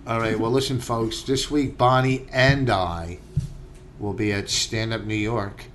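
A man's voice: fairly low pitch, fairly even intonation, a little slow, fairly bright tone, soft background music. A man co-hosting a comedy podcast, teasing and prodding in casual banter.

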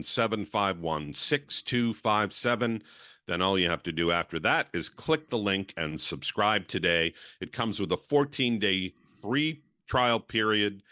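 A man co-hosting a podcast, speaking in a measured, articulate way. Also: low voice, sharp tone, no echo, clean studio sound. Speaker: podcast co-host.

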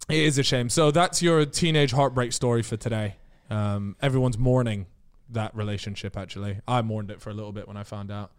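A man's voice: fairly low pitch, a little fast, hardly any pauses, clean tone. A man hosting a podcast, telling stories in a casual, jokey, swearing way. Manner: chatty, swearing, jokey storytelling. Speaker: podcast host.

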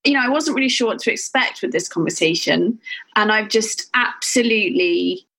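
A woman's voice: medium pitch, expressive, moderate pace, fairly bright tone. A woman hosting a podcast interview, sharing personal reflections openly. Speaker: podcast host. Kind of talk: conversational interview.

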